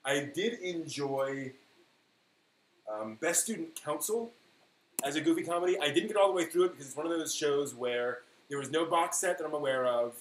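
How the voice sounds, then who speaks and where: A man hosting a live stream in casual, rambling chat, thinking aloud haltingly and leaning on key words. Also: on the high side, lively intonation. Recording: echoey room with faint noise.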